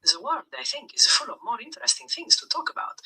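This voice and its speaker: A woman's voice: sits high, very animated, fast talker, creaky, pushed and bright, husky, in a whisper, poor audio. A synthetic woman's voice of an AI chatbot, replying in a polite, stern and formal way. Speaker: AI chatbot persona. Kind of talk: formal scripted replies.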